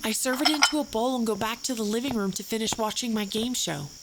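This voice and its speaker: A woman's voice: on the high side, quick pace, heavy background noise, lightly acted. An actress playing an anxious woman who recounts a frightening night in detail in an audio drama, with a snippy edge. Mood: recalling. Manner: detailed, snippy, increasingly fearful recollection. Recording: prominent music under the voice. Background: music.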